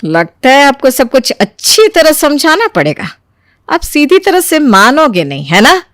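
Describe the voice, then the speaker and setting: A woman voicing a domineering middle-aged mother-in-law who scolds and complains, in an animated family moral story. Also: high voice, a little slow, no echo, studio quality, heavily acted. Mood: nagging.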